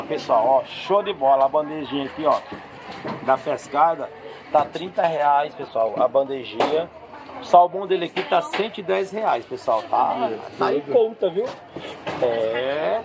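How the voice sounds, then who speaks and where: A man with a Brazilian accent giving casual, chatty commentary to viewers, often repeating little tag phrases. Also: a little high. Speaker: vlogger touring a street market. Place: outdoor street market. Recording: outdoor market with crowd noise.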